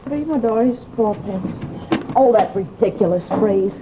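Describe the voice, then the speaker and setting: A woman's voice: mid-range voice, expressive, unhurried, natural voice. Woman playing a coy, lilting radio-drama hostess. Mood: coy, puzzled. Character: coy, unflappable woman hosting a haunted house guest. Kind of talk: old-time radio drama acting. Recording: muffled, noisy vintage radio recording.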